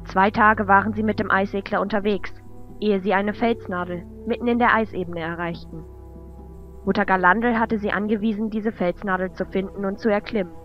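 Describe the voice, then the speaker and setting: A woman narrates a fantasy radio play from a script. Her voice sits at a medium pitch. The recording is a bit dull, with music underneath.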